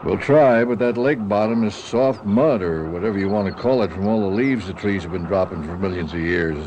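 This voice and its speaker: An actor with an American accent playing a folksy, plainspoken local lawman in a radio mystery, halting and colloquial. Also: lowish voice, background noise, natural voice. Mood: awkward, sympathetic. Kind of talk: radio mystery drama acting.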